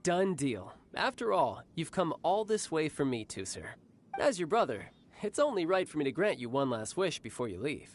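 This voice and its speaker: Brief: a cocky, easygoing young warrior, teasing and smooth, with a lively, lilting read. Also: male voice, on the high side, moderate pace, a little hiss or hum. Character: cocky young male warrior, doting older brother, playful. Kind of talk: game cutscene voice acting.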